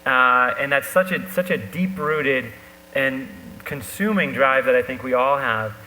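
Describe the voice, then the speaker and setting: A man discussing ideas on stage as a guest, thoughtful and halting as he circles toward his point. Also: mid-range voice, pushed and bright. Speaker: panel guest, novelist. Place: live talk event hall. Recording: reverberant hall with noise and hum.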